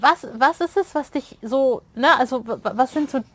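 A woman's voice: high-pitched, moderate pace, a bit bright. A woman in her forties from East Westphalia, co-hosting a casual interview in an informal, slangy way. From East Westphalia, Germany. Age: 40s. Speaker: podcast co-host, interviewer. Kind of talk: casual chat. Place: outdoors in a garden.

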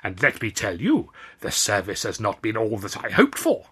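A man's voice: fairly low pitch, wildly swooping pitch, moderate pace, pushed and bright, slightly rough, exaggerated voice. Man acting a pompous, cheeky stowaway comic. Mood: mock-pompous, cheeky. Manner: grandiose, mock-pompous. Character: cheeky music-hall comic playing a drunken stowaway.

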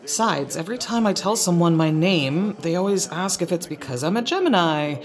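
Female actor as young adult daughter, caring but exasperated, expressive pitch, natural conversational audio drama read. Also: normal speed, lightly acted. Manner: conversational, earnest, gently exasperated.

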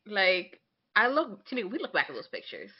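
A woman's voice: medium pitch, huge pitch swings, moderate pace, muffled recording. A young woman co-hosting a podcast in a casual, conversational style, candid and opinionated, often slipping into imagined quotes. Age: young adult. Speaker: podcast co-host.

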